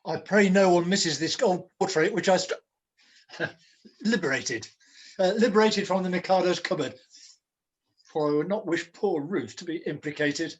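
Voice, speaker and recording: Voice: medium pitch, normal speed, long pauses, close to an everyday voice. A performer playing a flustered, scheming jester in a pantomime, declaiming in florid mock-archaic language. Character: Jack Point, a wordy, scheming, flustered jester. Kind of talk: theatrical pantomime acting.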